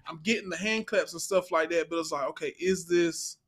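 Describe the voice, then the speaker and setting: A man's voice: on the high side, fairly quick, fairly bright tone, husky. An American man in casual conversation about acting, circling back and repeating phrases for emphasis. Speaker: stage play actor, panel guest. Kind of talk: casual group chat.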